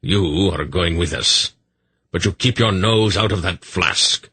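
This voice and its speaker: A man voicing a testy, stern old sorcerer in an audiobook, speaking with quiet menace. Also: low voice, a little slow, breathy edge, exaggerated voice. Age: older.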